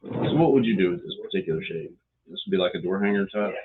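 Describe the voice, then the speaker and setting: A man talking from off camera, teasing and asking quick questions during a craft demo. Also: deep voice, lively intonation. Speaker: off-camera helper. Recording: tinny phone-like sound with faint noise, off-mic.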